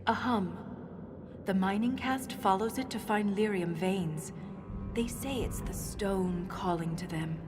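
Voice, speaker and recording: A woman playing a principled, dryly stubborn dwarf historian in a video game, speaking in a measured, precise way. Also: mid-range voice, close to an everyday voice. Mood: scholarly, intent. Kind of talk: video game cutscene dialogue. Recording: game music behind the voice. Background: music.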